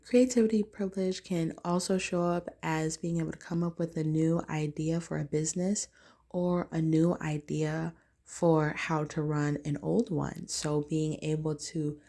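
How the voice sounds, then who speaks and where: A woman with a notably low, raspy voice talks straight to camera in a relaxed, wandering monologue. She circles her points with plenty of "like" and "um" and restarts. It is an easygoing vlog chat rather than a prepared speech. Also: unhurried. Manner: rambling, filler-heavy, loose and conversational. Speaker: vlogger. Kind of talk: casual talking-head monologue.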